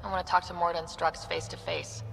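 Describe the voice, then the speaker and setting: A woman's voice: lowish voice, little pitch movement, fast talker, creaky, faint music behind, low-quality recording. A woman playing a determined, wry space explorer leader in a video game, assertive and sardonic. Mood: irritated, wry.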